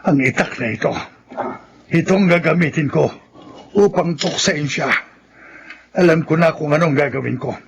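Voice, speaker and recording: Stubborn old filipino grandpa, creaky n desperate, radio horror acting, noisy audio. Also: male voice, a little high, normal speed, pauses often. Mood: determined, impassioned. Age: older. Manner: impassioned, declamatory.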